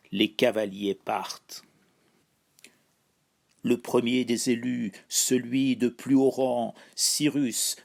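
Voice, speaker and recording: A man solemnly reciting an epic poem in measured, declamatory phrases broken by pauses. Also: medium pitch, creaky, darkish tone. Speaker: poetry reciter.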